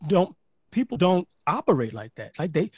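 A man's voice: sits high, normal speed, slightly rough, no echo, clean studio sound. A man from Barbados, a podcast guest, telling stories in casual chat with lots of restarts and "like". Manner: animated storyteller, repeats words and says "like" often.

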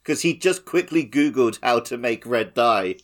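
A man's voice: medium pitch, lively intonation, a little slow. A man co-hosting a video game podcast, talking in a casual conversational style. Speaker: podcast co-host.

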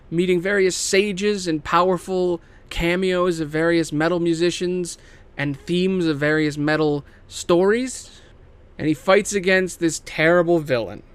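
Higher-voiced man, smooth and emphatic. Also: fairly bright tone, no echo. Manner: emphatic and theatrical, swinging into casual asides; casual swearing. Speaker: host pitching video game movie adaptations. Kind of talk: casual, semi-improvised story pitch to camera.

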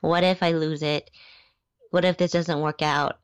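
A woman's voice: deep voice, level tone, measured pace, clean tone, dry recording. A woman with a youthful-sounding voice, chatting casually as a podcast guest, restarting words and laughing often. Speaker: guest, small business founder. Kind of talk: podcast interview, casual storytelling.

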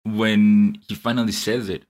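A man's voice: lowish voice, flat delivery, unhurried, darkish tone. A young man co-hosting a podcast in casual chat, earnestly explaining a film's story at length. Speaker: podcast co-host.

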